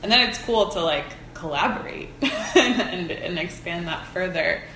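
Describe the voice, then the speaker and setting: A woman's voice: on the low side, lively intonation, unhurried, sharp tone. A woman speaking as an interview guest, earnest and informal, thinking aloud in casual, reflective conversation. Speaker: interview guest, folk practitioner. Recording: roomy echo, faint background noise.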